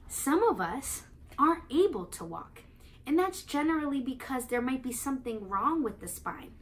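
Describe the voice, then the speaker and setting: A young adult woman with an American accent, reading aloud and explaining to young children in an expressive, playful, sing-song manner. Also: fairly high pitch. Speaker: children's read-aloud host and teacher. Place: small living room, indoors on a couch.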